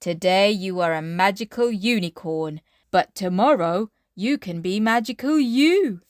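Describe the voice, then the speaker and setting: A woman's voice: mid-range voice, expressive, measured pace, no room sound, studio quality, lightly acted. A woman voicing a jovial, indulgent daddy pig in a hearty, emphatic way for a children's storybook. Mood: encouraging. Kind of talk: children's storybook read-aloud.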